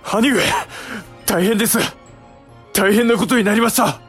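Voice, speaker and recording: A man's voice: high-pitched, measured pace, loud music behind the voice. A man playing an earnest, anxious young samurai younger brother who speaks politely in a period audio drama. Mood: alarmed.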